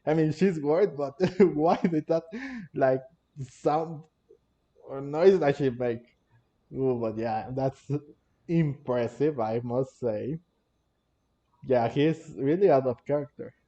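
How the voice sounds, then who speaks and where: A man hosting a casual gaming playthrough, speaking slowly and hesitantly in a mellow, dark voice. The recording is dull and lo-fi, with faint music. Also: mid-range voice. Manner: hesitant, rambling. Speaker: gaming video host. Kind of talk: casual game playthrough commentary. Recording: dull, lo-fi microphone sound. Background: faint game music.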